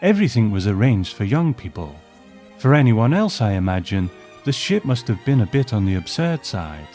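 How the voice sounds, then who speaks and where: A man voicing a gracious, refined old married woman with measured, reflective phrasing, in a storybook reading. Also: fairly low pitch, big pitch swings, a little mellow, soft background music. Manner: measured, ornate, philosophical phrasing.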